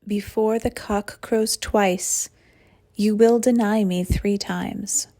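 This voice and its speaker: A woman reading scripture aloud in a hushed, slow way at a church service. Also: medium pitch, fairly even intonation. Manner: hushed, trailing to a whisper. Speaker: scripture reader.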